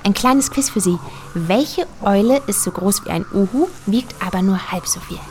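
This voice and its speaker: An actress plays an eager bird enthusiast in a comic audio drama. She is a chirpy, excitable woman at a middle pitch with very lively intonation. There is faint background noise. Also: normal speed, natural voice. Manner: excitable, exclaiming.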